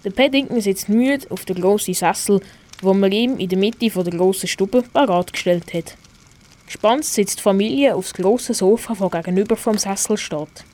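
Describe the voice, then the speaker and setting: A woman's voice: medium pitch, moderate pace, darkish tone. A teenage girl with a Swiss German accent reading scripted narration for a school radio play.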